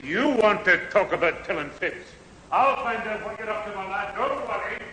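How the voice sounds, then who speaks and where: Stern elderly grandfather, bright forceful voice, scolding, radio sitcom with faint hiss. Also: high-pitched, lively intonation, moderate pace, roomy sound, some chatter behind, rough recording. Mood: suspicious. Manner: gruff, scolding. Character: stern, suspicious old Scottish grandfather. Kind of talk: 1970s British radio sitcom acting.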